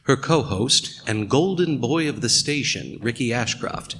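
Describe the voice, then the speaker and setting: A man's voice: mid-range voice, expressive, measured pace, creaky, fairly bright tone, a little hiss or hum. An actor playing a world-weary, sardonic male narrator, delivering scripted noir narration in a radio play. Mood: wry, brooding.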